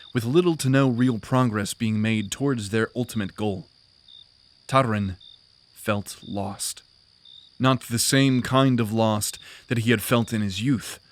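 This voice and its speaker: A man narrating a fantasy audio drama in a steady, even storytelling manner. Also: mid-range voice.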